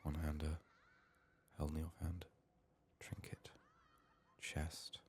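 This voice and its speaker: A man quietly narrating a game playthrough in soft-spoken ASMR style, murmuring to himself and often dropping toward a whisper. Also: extremely low pitch, completely flat delivery, takes it slowly, slight background noise. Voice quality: soft, hushed, breathy in whispers. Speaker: gaming streamer.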